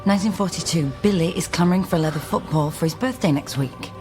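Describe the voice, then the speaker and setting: An actress playing a shrewd, guarded genealogist with a wistful inner side in a naturalistic radio drama. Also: female voice, fairly low pitch, quick pace, music in the background, lightly acted. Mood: brisk, thoughtful.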